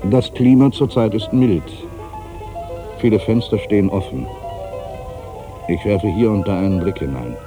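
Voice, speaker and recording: An actor playing an aging, world-weary writer in an introspective, theatrical literary monologue, anxious and brooding, given to grandiose fancies. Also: male voice, fairly low pitch, a little slow, pauses often, over music, natural voice. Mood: observant, narrating. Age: older. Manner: theatrical, introspective, swinging between hushed and emphatic delivery.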